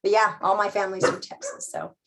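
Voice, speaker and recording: A woman's voice: medium pitch, little pitch movement, fast talker, a bit bright. Middle-aged woman guest speaker, chatty and self-deprecating, heard over a video call. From California. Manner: chatty, self-deprecating, many fillers and restarts. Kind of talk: casual chat then presentation on Zoom.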